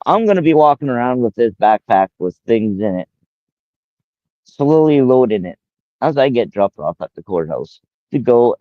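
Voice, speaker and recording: A man's voice: lowish voice, no room sound. Man, 40s, rambling livestreamer who repeats himself; dead dry, muffled lo-fi sound. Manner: rambling, addresses a viewer by name, repeats himself. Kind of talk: casual livestream talk.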